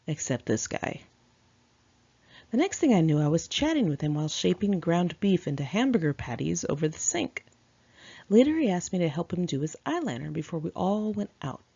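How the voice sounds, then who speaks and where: Woman narrating her memoir, low husky voice w lots of fry, candid, kinda dull home recording. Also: moderate pace. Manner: candid, expressive, acts out dialogue. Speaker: author reading own memoir. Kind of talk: memoir audiobook narration, conversational storytelling.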